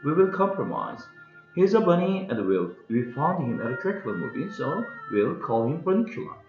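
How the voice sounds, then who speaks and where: A man voicing a brisk, decisive mother who keeps the peace in a storybook household. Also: fairly high pitch, very animated, voice pushed up. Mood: firm. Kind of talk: storybook character dialogue. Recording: dull lo-fi roomy recording with music.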